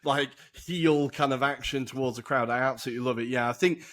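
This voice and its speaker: A young man hosting a casual football podcast over a video call, chatty and enthusiastic with quick asides. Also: mid-range voice, a bit bright. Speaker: podcast host. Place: humid room in a city flat.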